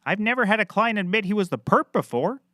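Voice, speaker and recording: Goofy comedy private eye, high bright voice, cocky and rambling, bit clueless. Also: male voice, lively intonation, fast, dry recording, clean studio sound. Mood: glib, excitable. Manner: glib, rambling, self-assured. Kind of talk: scripted comedy acting.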